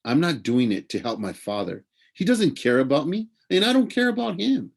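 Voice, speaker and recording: A man's voice: a little high, very animated, moderate pace, creaky, pitched above the performer's own voice, exaggerated voice. A man voicing a stubborn, defensive boy hero in a storybook, with an emphatic, indignant delivery.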